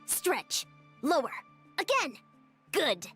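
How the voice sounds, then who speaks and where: A woman voicing a brisk, dutiful female athletics coach in a video game, matter-of-fact and instructing. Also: sits high, big pitch swings, bright, forceful tone, soft background music, full character voice.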